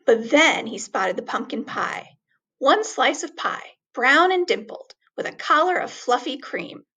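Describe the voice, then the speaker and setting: A woman reading a children's story aloud expressively, with deliberate, dramatic emphasis on words. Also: sits high, sing-song, pushed and bright, slightly rough.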